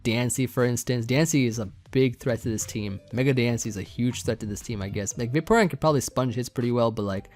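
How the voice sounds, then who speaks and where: A young man casually talking through a team build, rambling with many fillers, his voice getting a bit hoarse. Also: medium pitch, fast talker, few pauses, faint music behind. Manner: relaxed, rambling, many fillers and restarts, light laughs. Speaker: competitive Pokemon team builder. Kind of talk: casual gaming commentary over team building.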